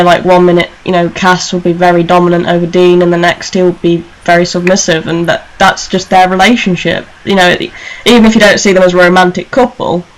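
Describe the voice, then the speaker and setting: A woman's voice: on the low side, low-quality recording. A woman hosting a fan radio show, talking casually in a panel discussion.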